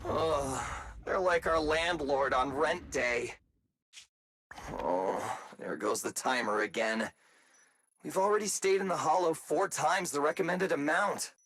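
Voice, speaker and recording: A man playing an excitable, goofy, loyal android sidekick in a game cutscene, exclaiming and whining dramatically, with noise behind him. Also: a little high, takes it slowly, slightly rough, no echo, exaggerated voice. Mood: grumbling. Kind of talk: animated game cutscene acting.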